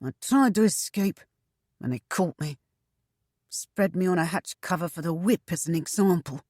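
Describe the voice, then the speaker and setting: A woman voicing a fat, bitter male pirate eunuch who gloats in rough tavern dialect. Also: lowish voice, moderate pace, a little mellow, no room sound, studio quality, close to an everyday voice. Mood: bitter, gloating. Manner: coarse dialect, tavern bluster.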